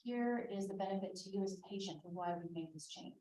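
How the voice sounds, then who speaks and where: A woman's voice: mid-range voice, fast talker, dark tone. A woman tentatively offering suggestions at a board meeting. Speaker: board member. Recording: echoey room from far off.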